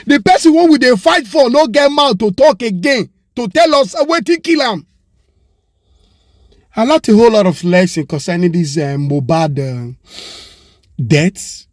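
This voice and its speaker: A Nigerian man speaks in a high voice with a Pidgin English accent, close to the mic in a dry, dead space. He argues with passion, throwing out rhetorical questions and switching into Yoruba now and then. It sounds like an opinion monologue. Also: expressive, moderate pace, dry recording. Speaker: commentator.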